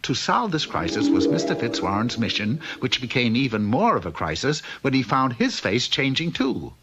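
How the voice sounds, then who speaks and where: A man's voice: medium pitch, very animated, moderate pace. A man narrating a comic fairy-tale cartoon from the 1960s as a wry storyteller. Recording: dull, noisy old cartoon soundtrack.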